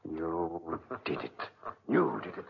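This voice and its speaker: An actor playing a scheming, menacing murderer with a sly, scornful, theatrical manner in a 1940s radio drama. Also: male voice, low voice, big pitch swings, slow pace, vocal fry, full character voice. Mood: sly, insistent. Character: scheming, menacing villain, a knife-throwing murderer. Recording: noisy, muffled 1940s radio recording.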